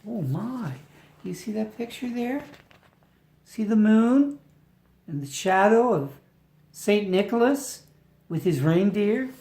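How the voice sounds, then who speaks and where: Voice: mid-range voice, animated, takes it slowly, long pauses, mellow. An older person reading a storybook aloud to a baby, affectionate and playful, with chatty asides and emphatic delivery. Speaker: family member reading to a baby. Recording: roomy home recording with echo.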